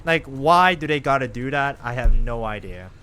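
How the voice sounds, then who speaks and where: Lively, animated man streaming a game. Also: medium pitch, moderate pace. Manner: chatty, animated, lively reactions while playing. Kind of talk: casual live-stream commentary.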